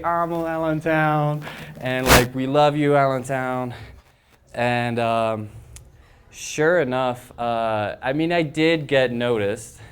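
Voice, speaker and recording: A young man who founded a design agency, telling a rambling, animated story to a crowd on a handheld microphone in an event room. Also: medium pitch, slight background noise. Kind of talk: casual presentation to an audience.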